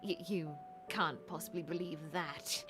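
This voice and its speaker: A woman's voice: on the low side, measured pace, over loud music, close to an everyday voice. A woman voicing a shy stammering student. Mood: nervous. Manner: nervous, stammering.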